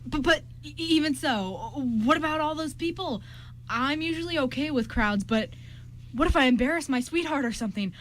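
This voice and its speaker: A woman's voice: sits high, lively intonation, normal speed. A woman playing an anxious, lovestruck young groom in an amateur radio play, stammering and repeating words. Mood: panicked. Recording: clearly noisy amateur recording with hum.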